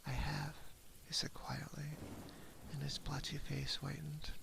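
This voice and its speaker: A man softly reading a story aloud as ASMR, half-whispering close to a binaural microphone with a breathy voice. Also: a little high, monotone, a little slow, noisy background. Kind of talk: ASMR reading of a classic horror short story.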